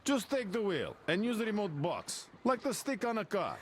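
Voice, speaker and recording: An actor playing an easygoing, wry guard in a post-apocalyptic video game. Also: male voice, high voice, huge pitch swings, moderate pace, a little hiss or hum. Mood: friendly briefing. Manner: wry, casual. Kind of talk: video game cutscene acting.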